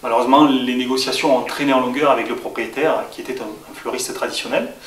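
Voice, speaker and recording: A man's voice: medium pitch, steady tone, fast, a bit bright, recorded from a distance. A man from southwest France answering interview questions as a franchise shop owner. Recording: echoey room, off-mic, faint hiss.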